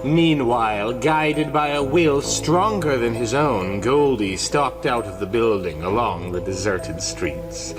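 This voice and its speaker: A man's voice: medium pitch, slow pace, few pauses, over music. A man narrating a horror comic in a grave, dramatic, scripted storytelling style. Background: musical score.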